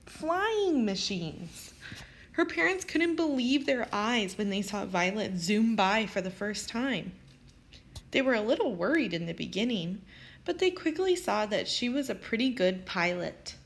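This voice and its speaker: A woman reading a children's picture book aloud as an expressive storyteller. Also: mid-range voice, unhurried. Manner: expressive, animated storytelling with acted character lines. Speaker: storybook reader.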